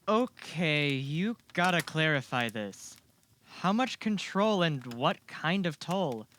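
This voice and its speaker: A man's voice: a little high, sing-song, takes it slowly, fairly bright tone, exaggerated voice. Young fantasy apprentice type , male actor: inquisitive, casual, a bit skeptical, amateur audio drama with some background noise. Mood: skeptical, probing. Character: Simon, curious young male newcomer to magic, skeptical, eager questioner.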